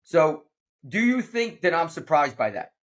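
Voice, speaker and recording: A man hosting a casual leadership conversation, upbeat and quick to laugh, often restarting his sentences. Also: on the high side, very animated. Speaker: podcast host.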